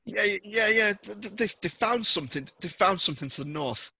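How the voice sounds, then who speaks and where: A man's voice: high-pitched, animated, bright, forceful tone, slightly rough, pitched above the performer's own voice, full character voice. A man voicing a panicked, tearful female pilot who stammers and halts as she speaks. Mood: panicked, shaken. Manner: stammering, halting.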